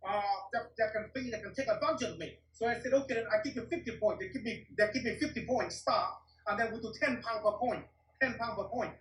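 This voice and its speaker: A man's voice: high voice, quick pace, a bit bright, slight background noise. Blunt, profane man. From Vietnam. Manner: blunt, swears often, broken English. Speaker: martial arts teacher. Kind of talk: interview storytelling. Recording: roomy echo, distant mic.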